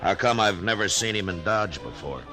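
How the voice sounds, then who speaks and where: A man playing a steady, laconic frontier marshal in an old radio western, slow and hesitant with many pauses. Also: low voice, over music. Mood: probing. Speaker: narrator and lead character. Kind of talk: 1950s radio western acting.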